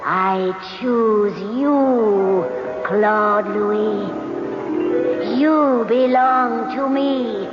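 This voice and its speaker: Radio drama: ancient goddess, menacing and possessive, very slow incantation over loud music. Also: female voice, a little high. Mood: commanding, possessive. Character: imperious ancient goddess Venus, living statue, possessive and menacing. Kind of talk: old-time radio drama acting. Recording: muffled radio, long reverb, loud music.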